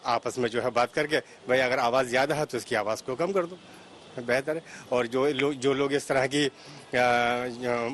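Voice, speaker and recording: A man giving a spontaneous interview answer, halting and repeating his phrases. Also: medium pitch, fairly bright tone. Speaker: member of the public interviewed. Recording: faint ambient noise.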